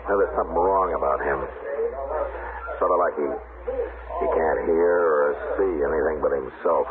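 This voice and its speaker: An actor playing a stern, resolute frontier marshal in an old radio western, speaking in a terse, grave, commanding way. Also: male voice, very deep voice, measured pace, phone-quality sound. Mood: brooding. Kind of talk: 1950s radio western acting. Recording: scratchy, hissy old radio recording.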